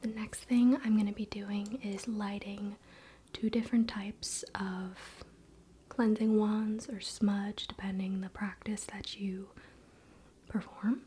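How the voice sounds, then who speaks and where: Slow whispering ASMR woman, noisy background. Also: mid-range voice. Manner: soothing, relaxed, informal. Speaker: ASMR video host and spiritual practitioner. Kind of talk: soft-spoken whispered ASMR.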